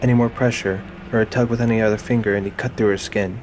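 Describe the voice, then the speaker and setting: A man's voice: fairly low pitch, steady tone, quick pace, a little mellow, a little hiss or hum, low-quality recording. A man reading scripted, dramatic narration for an audio drama. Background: music.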